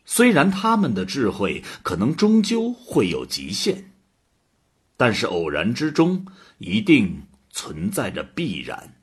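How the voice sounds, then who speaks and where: A male actor as an earnest creator god in a radio play. His lowish voice is very husky and rough, rising and falling dramatically with theatrical conviction. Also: wildly swooping pitch, slow pace, heavily acted. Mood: earnest, insistent. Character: earnest creator god, proud of living things. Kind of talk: audio drama acting.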